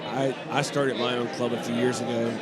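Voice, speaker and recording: A man's voice: medium pitch, little pitch movement, moderate pace. A man hosting a soccer podcast, chatting casually with a guest and often restarting his words. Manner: friendly, stammering restarts. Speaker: podcast host. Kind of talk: casual interview. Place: busy bar at a conference. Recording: loud bar crowd and music. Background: loud crowd chatter and music.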